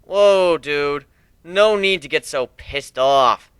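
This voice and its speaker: A man's voice: on the high side, slow, fairly bright tone, clear, resonant tone, no room sound. A man voicing a cocky, jaded male griffin pirate captain with a lazy, sardonic air of command, in a fantasy story reading.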